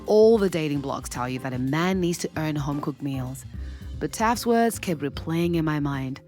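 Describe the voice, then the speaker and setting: A frank, smitten woman in her late twenties from Zimbabwe in an audio drama. Her voice sits low, and she speaks in a confiding, playful way. Soft music runs faintly underneath. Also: lively intonation, normal speed. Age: 20s. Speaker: lead actor and first-person narrator. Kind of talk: audio drama narration and dialogue.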